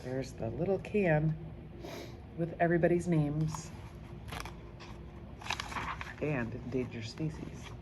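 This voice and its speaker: A woman reading a children's book aloud in an expressive, emphatic storytelling style. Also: sits low, noisy background. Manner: animated, emphatic, deliberate character reading.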